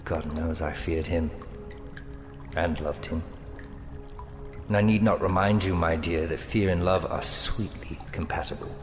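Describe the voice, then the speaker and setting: A man's voice: sits low, fairly even intonation, unhurried, pauses often. An actor playing a menacing, amorous male villain in an audio drama, speaking in a theatrical, taunting and seductive way. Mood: seductive menace. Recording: long cavernous reverb with music underneath. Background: dramatic music.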